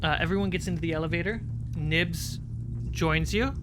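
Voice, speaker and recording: A game master narrating a tabletop roleplaying livestream in a dramatic, deliberate storytelling manner with pauses. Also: mid-range voice, background noise.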